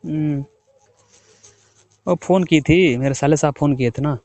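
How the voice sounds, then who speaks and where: A man's voice: a little high, a little slow, warm tone, lo-fi sound. A young man from the of India, chatting casually with his wife, teasing and coaxing. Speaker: husband working away from home. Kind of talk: casual phone chat.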